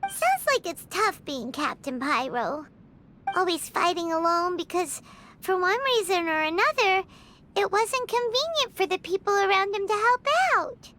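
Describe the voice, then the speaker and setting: A woman voicing a tiny, cheerful, chatty childlike fairy companion in an animated video game, with a perky manner. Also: very high-pitched, a little slow, heavily acted. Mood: chirpy, explaining. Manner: speaks of self in third person, perky.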